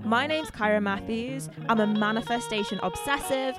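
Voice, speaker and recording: A woman's voice: fairly high pitch, moderate pace. A woman reading the scripted intro to her podcast in a polished, upbeat way. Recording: intro over hip hop music.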